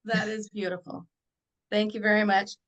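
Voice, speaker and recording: A woman's voice: mid-range voice, level tone, normal speed, lo-fi sound. Woman moderating a call, gracious, encouraging. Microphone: video call.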